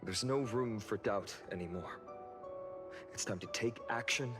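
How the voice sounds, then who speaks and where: A man's voice: on the low side, a little slow, natural voice. A man voicing an earnest, resolute young warrior leader in a fantasy game, speaking formally. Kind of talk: dramatic video game dialogue. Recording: music behind the voice.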